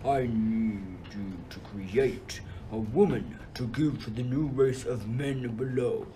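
A man's voice: mid-range voice, takes it slowly, dark tone, natural voice, rough recording. A man playing Zeus as a pompous, vindictive king of the gods in an amateur radio play. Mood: commanding. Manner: pompous, scornful pronouncements. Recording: faint background noise.

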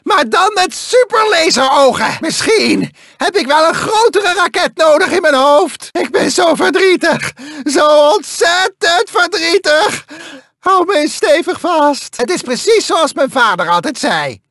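A tiny, manic cyborg mad scientist from a cartoon video game. The voice is extremely high and gravelly, lurching wildly between self-pitying mutters and villainous shrieks. It is a very dry, close recording. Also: male voice, lively intonation, unhurried, pushed and bright, no room sound, exaggerated voice. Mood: whining, miserable. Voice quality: shrill, strained, gravelly.

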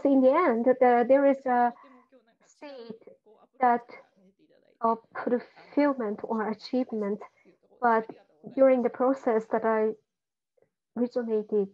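A woman doing simultaneous interpretation on an online call, talking haltingly with frequent fillers and restarts. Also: fairly high pitch. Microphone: video call. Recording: muffled video-call audio.

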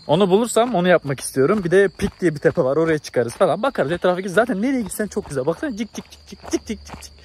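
A young man talks quickly and casually to a phone held at arm's length. His voice sits a bit high for a man, and he sounds keen and informal, like a travel vlogger. Faint outdoor noise runs behind him. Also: expressive, darkish tone. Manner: enthusiastic, informal, exclamatory. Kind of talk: casual chat to camera. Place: outdoors in a mountain village and hillside.

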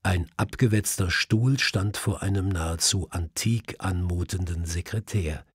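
A male audiobook narrator with a fairly low, husky voice. He tells a detective story in measured, literary style. The sound is close and dry, with no room on it. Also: dry recording.

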